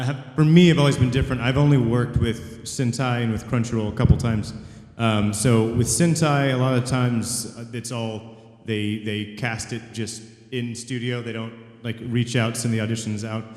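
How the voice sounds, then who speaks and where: A man's voice: medium pitch, fairly even intonation, a little mellow. A man, a voice actor on a convention panel, recounting an audition earnestly and humbly, with many fillers. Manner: earnest, humble, quick bursts between fillers. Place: large convention hall. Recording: echoey hall with long reverb.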